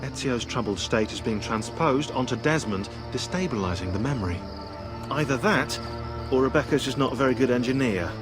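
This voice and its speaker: Actor as sarcastic British man, swooping pitch. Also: animated, brisk, close to an everyday voice. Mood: sardonic. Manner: sardonic, pedantic. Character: sarcastic, fussy British historian, peevish know-it-all. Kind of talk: video game cutscene acting. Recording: clear music underneath. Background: music.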